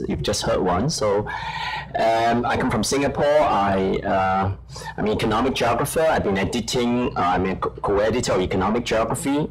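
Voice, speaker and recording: A middle-aged man whose first language is Chinese talks on a conference panel in a slightly low voice. He is brisk and self-deprecating, full of "uh" and "you know", with audience murmur around him in the hall. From China. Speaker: conference panelist, journal editor.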